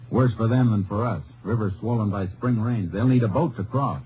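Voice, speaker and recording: An American actor playing a steady, determined Texas Ranger in an old radio western, terse and matter-of-fact. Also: male voice, on the low side, normal speed, close to an everyday voice. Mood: resolute. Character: steady, determined male Texas Ranger narrating his manhunt. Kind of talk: 1950s radio western acting and narration. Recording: noisy old-time radio recording.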